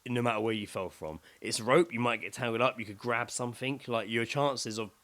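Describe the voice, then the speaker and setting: A British man co-hosting a podcast, telling a story in casual chat with sweary, excitable delivery and acting out his own reactions. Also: mid-range voice, a little fast. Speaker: podcast co-host.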